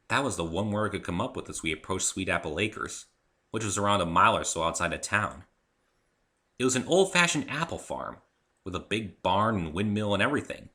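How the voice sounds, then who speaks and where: A man reading a first-person story aloud in an expressive, theatrical amateur narration. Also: low voice. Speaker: amateur reader of own story.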